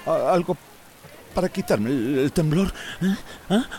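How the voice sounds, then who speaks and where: An actor playing an old Central American village drunk and healer in a radio drama, rambling and repeating himself in self-pity. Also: male voice, a little high, lively intonation, slow, warm tone, slight background noise. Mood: shaky, pleading. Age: older.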